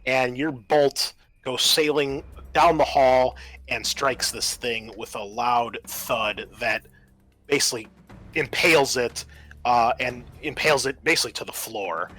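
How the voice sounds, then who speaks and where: A man's voice: medium pitch, unhurried, bright, forceful tone, soft background music. A man acting as game master, narrating the scene and explaining the rules of a tabletop roleplaying game.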